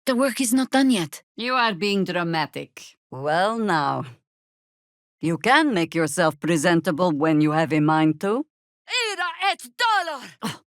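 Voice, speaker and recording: An actress playing a stern, battle-hardened older druid heroine in a fantasy video game, dry, sardonic and commanding in delivery. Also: female voice, mid-range voice, dry recording. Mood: terse, wry, then sardonic, teasing, then urgent battle cries.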